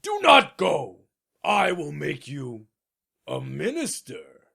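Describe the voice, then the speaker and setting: A man playing a proud old king in a storybook dramatization, with a gravelly, raspy voice and a pompous, imperious, theatrical delivery. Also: on the high side, wildly swooping pitch, slow pace, sharp tone, exaggerated voice. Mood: pleading, self-important.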